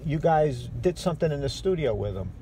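A man's voice: fairly high pitch, expressive, brisk, mellow, a little hiss or hum. A man, a record collector, asking curious questions in casual chat from a parked car, recorded on a handheld phone. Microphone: phone in his hand.

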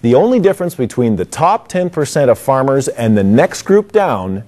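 Male program announcer, animated scripted read, mellow tone. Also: medium pitch, moderate pace. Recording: music bed under voice.

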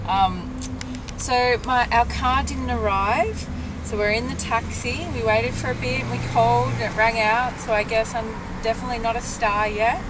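A woman's voice: on the high side, fairly even intonation, normal speed. A young adult woman with an Australian accent, chatting casually to camera as a travel vlogger. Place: airports, plane cabin and moving taxi. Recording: noisy airport and vehicle background.

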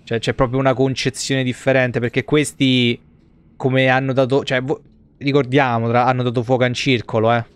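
A man's voice: mid-range voice, level tone, normal speed, clean tone. A man in his thirties with a Roman Italian accent gives casual, rambling, foul-mouthed live gameplay commentary. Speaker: game streamer. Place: small indoor streaming room.

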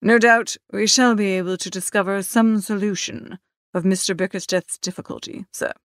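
A woman reading the part of a polished, deferential gentleman's manservant in a formal, measured manner for an audiobook. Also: medium pitch, fairly even intonation, dry recording, clean studio sound, close to an everyday voice. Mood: measured, composed.

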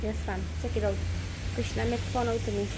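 A woman co-hosting a casual cooking chat, playful and quick to laugh. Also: a little high, level tone, dark tone, a little hiss or hum, low-quality recording. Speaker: co-host.

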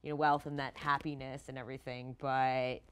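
A woman in her thirties talking casually as a guest on a gaming discussion show, rambling and animated, often saying "like" and "you know". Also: extremely low pitch, fairly quick, a little hiss or hum. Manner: rambling, animated, peppered with 'like' and 'you know'. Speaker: tabletop game player, guest on a discussion show. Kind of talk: casual chat. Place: TV-style studio set.

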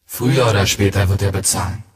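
A man's voice: low voice, quick pace, lightly acted. A man playing a cryptic online stranger in a radio drama, speaking in terse, oracular phrases with an echo. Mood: cryptic, calm. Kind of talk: radio drama, voiced chat messages. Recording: echoing, reverberant voice.

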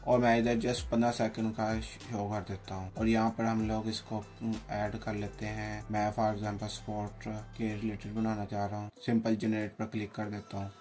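A man narrating an unscripted software tutorial, explaining plainly step by step. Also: lowish voice, level tone, normal speed, few pauses. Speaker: tutorial host. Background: background music.

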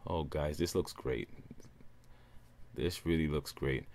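Man, very deep voice, relaxed upbeat tech reviewer, faint background hum. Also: slow. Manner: relaxed, upbeat presenter addressing viewers as guys. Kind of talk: casual unboxing voice-over.

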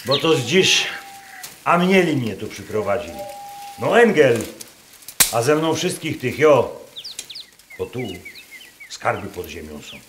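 An actor playing a gruff, proud rustic Masurian man in a radio drama, speaking folksy dialect in a probing, declamatory way. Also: mid-range voice, sing-song, a little slow, long pauses, background noise. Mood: confiding. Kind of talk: theatrical radio drama dialogue. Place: performed live in a wooden barn.

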